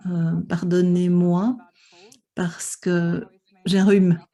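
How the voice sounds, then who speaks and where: A woman's voice: fairly low pitch, little pitch movement, very slow, warm tone. A woman interpreting a conference panel live, with many hesitations and restarts. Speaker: simultaneous interpreter for an online panel.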